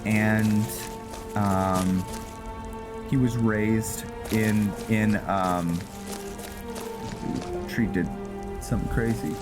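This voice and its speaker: A man giving casual gameplay commentary mixed with story narration, halting and thinking aloud as he goes. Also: fairly low pitch, steady tone. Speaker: host of a gaming roleplay series. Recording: music behind the voice. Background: music.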